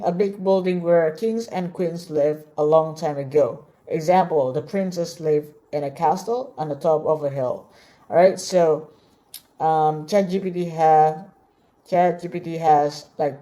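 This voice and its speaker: Woman course tutor, Indonesian native speaker, low and mellow voice, unhurried, chatty with lots of "all right?" check-ins. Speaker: online course instructor. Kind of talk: screen-share tutorial.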